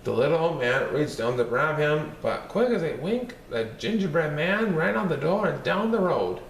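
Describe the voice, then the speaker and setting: A man reading a children's story aloud in a lively, expressive way. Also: a little high, moderate pace, vocal fry, recorded from a distance, slight background noise. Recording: roomy echo, faint ambience.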